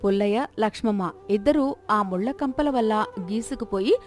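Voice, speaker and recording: A woman's voice: medium pitch, moderate pace, faint music behind. A woman narrating a scripted moral folk story as a storyteller.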